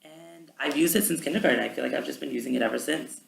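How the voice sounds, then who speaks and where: A man's voice: fairly high pitch, fast, vocal fry. A young Canadian man, a student, chatting casually about language with many ums. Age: young adult. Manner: relaxed, many ums, talks at length. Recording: roomy with faint background noise.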